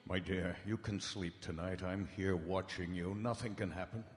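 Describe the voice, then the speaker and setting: An actor playing a grave, anguished doctor who reads his private journal in solemn, measured radio horror style. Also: low voice, fairly even intonation, natural voice. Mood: solemn, reassuring. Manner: solemn, dramatic, measured storytelling. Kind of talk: old-time radio horror drama acting. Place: large hall stage before live audience. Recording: echoey hall, live stage broadcast.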